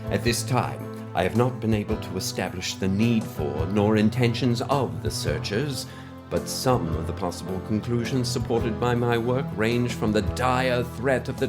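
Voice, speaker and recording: A man's voice: lowish voice, natural voice. A man playing a pompous, mysterious conspiracy theorist in a formal, verbose, pedantic scripted monologue. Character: pompous mysterious male conspiracy-theorist scientist on a cassette letter.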